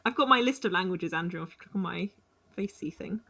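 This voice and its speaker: A woman's voice: lowish voice, quick pace, gravelly, pushed and bright. A woman chatting casually as a player in a tabletop roleplaying game.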